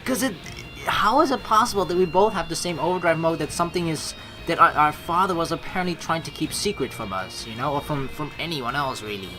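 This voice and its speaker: A woman playing through a game, reading the story aloud and reacting excitably with casual swearing. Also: deep voice, fairly quick, faint music behind. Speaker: let's play streamer reading a visual novel aloud.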